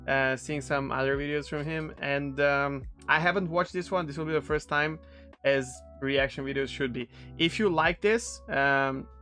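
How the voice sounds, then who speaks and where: A man's voice: fairly high pitch, fairly bright tone. A man in his thirties with a Serbian accent, a non-native English speaker from Serbia, casually rambling with friendly ums and restarts. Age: 30s. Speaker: streamer reacting to a video. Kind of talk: casual reaction commentary.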